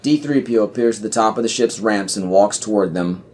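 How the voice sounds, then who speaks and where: A man's voice: fairly low pitch, fairly quick, a little mellow. A man reading scripted scene directions as the narrator of a podcast audio play.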